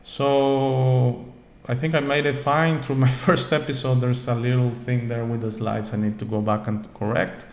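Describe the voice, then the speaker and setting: A man's voice: medium pitch, level tone, moderate pace. A man who is not a native English speaker hosts his own show, giving an earnest, rambling spiritual talk full of repeated words and checks like "okay?". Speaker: host. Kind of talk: spiritual monologue to camera. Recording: echoey room with faint ambient noise.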